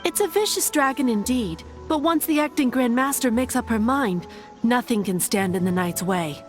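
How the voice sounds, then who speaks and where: A woman's voice: fairly high pitch, normal speed, close to an everyday voice. A woman playing a courteous but firm young nun in a fantasy video game, formal and pious in manner. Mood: stern. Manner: formal, pious, curtly polite. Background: background music.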